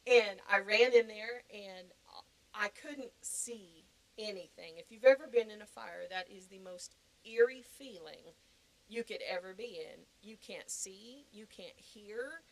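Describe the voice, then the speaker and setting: A woman's voice: medium pitch, a little slow, slight background noise, rough recording. A middle-aged woman giving a personal testimony as a podcast guest, talking conversationally and earnestly and repeating phrases for emphasis.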